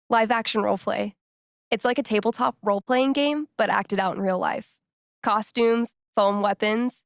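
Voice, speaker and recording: A woman's voice: medium pitch, vocal fry, dry recording, studio quality. An actress playing a laid-back, geeky young American woman in a video game, speaking in a casual, wry, playful way. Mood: friendly, upbeat. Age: young adult. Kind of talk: naturalistic video game character dialogue.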